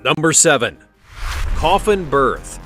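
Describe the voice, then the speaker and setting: A man's voice: fairly high pitch, big pitch swings, takes it slowly, pushed and bright, faint music behind. A man reading a scripted countdown narration in a dramatic storytelling way, with wry conversational asides.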